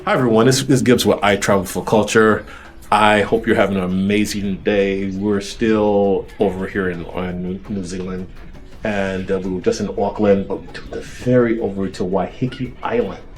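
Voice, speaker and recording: Upbeat lower-voiced male travel host. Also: steady tone, moderate pace, roomy sound, faint music behind. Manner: upbeat, encouraging, effusive praise. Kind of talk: casual travel interview.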